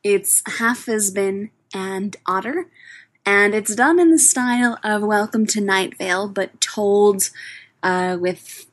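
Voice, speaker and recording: A woman with a mid-pitched voice records an informal recommendation. She sounds hesitant, assembling her thoughts as she talks. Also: takes it slowly. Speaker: listener giving a talkback recommendation.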